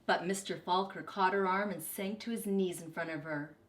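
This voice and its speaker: A woman reading a children's story aloud expressively, with deliberate, dramatic emphasis. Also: lowish voice, steady tone, echoey room. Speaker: storybook reader, teacher.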